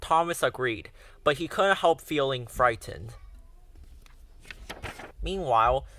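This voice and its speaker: Brief: expressive male read-aloud storyteller, dry close mic. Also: medium pitch, slow pace, no room sound. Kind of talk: children's book read-aloud.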